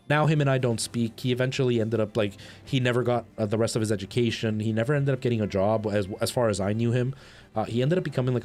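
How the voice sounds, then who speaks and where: A man's voice: medium pitch, a little mellow. A man chatting casually to viewers on a game stream, telling rambling anecdotes. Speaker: live streamer.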